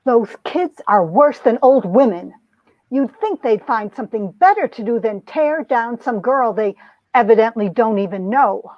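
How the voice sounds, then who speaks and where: A woman's voice: on the high side, lively intonation, unhurried, no echo, dull audio. A woman playing a lovestruck young society heroine who narrates her romance with earnest melodrama, in an amateur comic reading. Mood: indignant. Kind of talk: dramatic reading of a 1950s romance comic, first-person narration.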